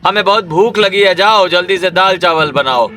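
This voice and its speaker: A man's voice: sits high, normal speed, sharp tone, a little hiss or hum. A man playing a defensive, scolding young village husband in a cartoon story. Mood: angry, bossy. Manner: scolding, bossy, dismissive.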